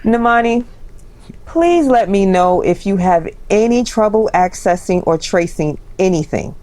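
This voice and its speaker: Woman as authoritative female president, dry wit, unhurried, expressive pitch swings, poised, audio drama. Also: close to an everyday voice. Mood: dryly wry. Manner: deliberate, dryly wry.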